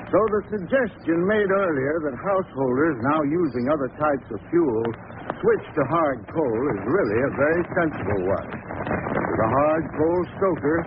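A noisy old radio recording of a man reading scripted advertising. His voice is somewhat high and very animated, in the style of a 1940s expert spokesman. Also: narrow, tinny audio. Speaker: commercial spokesman, heating expert.

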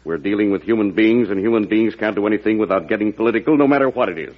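A man acting a shrewd congressman in an old radio play. His voice is low and he talks fast, blunt and clipped with no small talk. The vintage recording is dull. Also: natural voice. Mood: blunt, brisk. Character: blunt, brisk, shrewd plain-spoken male congressman.

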